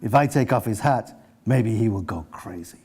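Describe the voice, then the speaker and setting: A man reading aloud as a stern, protective schoolboy who speaks in formal, measured, unyielding phrases. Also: fairly low pitch, animated, darkish tone, slight background noise. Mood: calm menace. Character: stern, protective foreign schoolboy, defiant and solemn.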